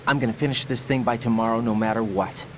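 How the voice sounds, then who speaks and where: An earnest young American male operative voiced by an actor in a video game cutscene. His mid-pitched voice is determined and serious, with clear vehicle noise and ambience behind it. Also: fast talker, lightly acted. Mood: concerned, insistent.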